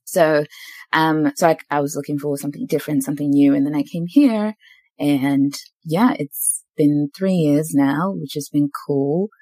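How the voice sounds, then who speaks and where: A woman's voice: low-pitched, moderate pace, no echo. A woman in her twenties who grew up in Zimbabwe and New Zealand, hosting a podcast and chatting casually, telling stories with frequent laughs. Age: 20s. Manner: chatty, laughs often, animated storytelling. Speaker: podcast host. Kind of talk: casual conversation.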